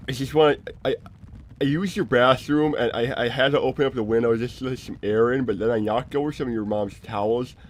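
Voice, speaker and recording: A man's voice: medium pitch, steady tone, fairly quick, lightly acted. A man playing an awkward, well-meaning cartoon walrus pal in an improvised livestream, hesitant and rambling as he stammers along. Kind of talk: improvised in-character livestream roleplay. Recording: clear background noise.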